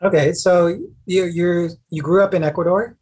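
A man's voice: sits high, steady tone, normal speed, a little mellow, slightly rough. A man hosting a podcast, reading the intro and asking rambling questions with restarts. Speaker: podcast host.

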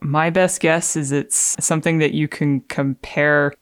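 A woman's voice: low voice, fairly even intonation, measured pace, dry recording. A woman speaks on a science podcast in a very dry recording with no room sound. She reads technical phrases with careful enunciation and explains them conversationally. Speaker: podcast guest doing dramatic readings and explaining science. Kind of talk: dramatic reading of technical text mixed with conversational explanation.

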